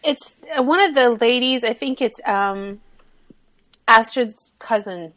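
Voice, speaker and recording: A woman's voice: on the high side, slow pace, a bit bright. A woman guesting on a podcast, chatting casually about a book with dry, self-deprecating humor. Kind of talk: casual chat.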